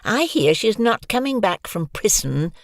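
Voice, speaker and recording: A narrator voicing a spiteful teenage boy bully who sneers and whines in drawn-out words. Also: medium pitch, very animated, no echo, clean studio sound, voice pushed up. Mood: sneering.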